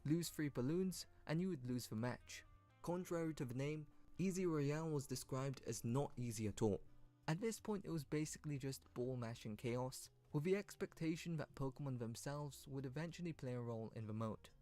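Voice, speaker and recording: A man's voice: medium pitch, moderate pace, mellow. A man reading scripted, informative narration for an online video. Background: background music.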